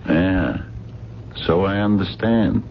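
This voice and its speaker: Gruff low-voiced sleuth type, dry n terse, old radio drama, bit of hiss. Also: male voice, slow pace. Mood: dry, grim. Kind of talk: 1970s radio drama acting. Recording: dull, noisy 1970s radio broadcast.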